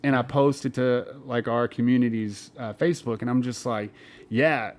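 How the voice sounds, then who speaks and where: A man's voice: medium pitch, fairly even intonation, normal speed. A young man co-hosting a podcast in casual banter, telling rambling stories full of swearing and filler words. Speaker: podcast co-host.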